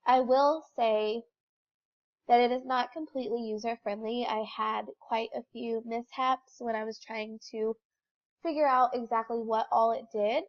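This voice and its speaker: A young woman with a stuffy, congested voice from a lingering cold explains a slideshow step by step. She talks informally and sits a little high in pitch. The recording sounds dull and lo-fi. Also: steady tone, a little slow. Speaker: student presenter.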